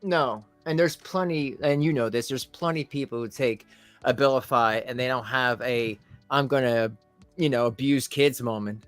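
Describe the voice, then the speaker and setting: A man's voice: medium pitch, normal speed, a bit bright, no echo, faint music behind. Mocking man hosting a live reaction stream. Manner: mocking, laughs often. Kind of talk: casual reaction chat.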